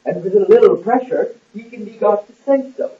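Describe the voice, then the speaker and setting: A man playing a smooth, cold, scheming royal secretary in an amateur puppet-show play, speaking in a measured, formal way. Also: sits high, natural voice. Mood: insistent, measured. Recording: roomy, muffled, distant lo-fi recording.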